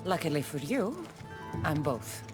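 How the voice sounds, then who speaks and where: A woman's voice: deep voice, very animated, measured pace, music in the background. A woman playing a bold, wry, self-assured female warrior in a video game cutscene. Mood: wry, confident.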